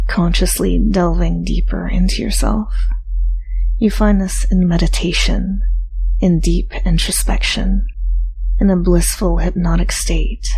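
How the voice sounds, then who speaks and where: A woman reading a scripted hypnosis induction as a hypnotist, talking softly and slowly and often sliding into a breathy whisper. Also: fairly low pitch, slight background noise, poor audio. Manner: soft, slow, breathy whispering. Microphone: studio mic, binaural.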